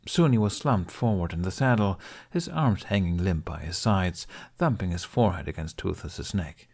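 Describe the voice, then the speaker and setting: A man reading a fan fiction aloud with expressive, measured narration. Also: sits low. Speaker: podfic reader. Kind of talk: storybook narration.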